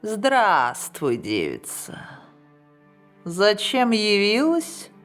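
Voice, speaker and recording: An old storybook witch barks out shrill, commanding calls that sound set back from the listener, as a woman puts her on. Her voice is menacing and drawn out. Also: wildly swooping pitch, extremely slow, a bit bright, faint music behind, full character voice. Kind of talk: storybook reading.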